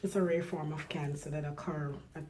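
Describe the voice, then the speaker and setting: A middle-aged woman from Guyana, a nurse, speaking softly and reflectively in a personal interview, pausing often with fillers. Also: low voice, fairly even intonation. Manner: soft-spoken, reflective, halting with fillers.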